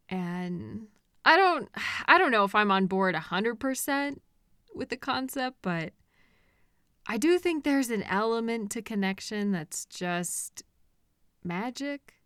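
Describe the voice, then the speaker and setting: A woman playing an obsessive, possessive kidnapper in an intimate roleplay audio drama, coaxing and patient with a condescending edge and hesitant pauses. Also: a little high, expressive, a bit bright, dry recording, studio quality. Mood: wistful, earnest. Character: obsessive, possessive female kidnapper, soothing and delusional.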